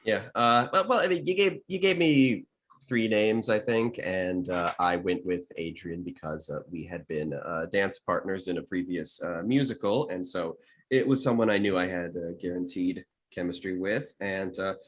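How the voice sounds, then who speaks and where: Lower-voiced man, halting delivery. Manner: halting, with repeated false starts. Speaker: producer and voice actor on an audio drama. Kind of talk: casual retrospective panel chat.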